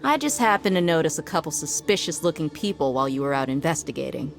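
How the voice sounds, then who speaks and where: A woman's voice: low voice, expressive, fast, vocal fry, soft background music. A woman voicing a confident female desert mercenary in a game cutscene, cocky and teasing. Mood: smug. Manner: cocky, teasing, laid-back. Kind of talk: video game cutscene dialogue.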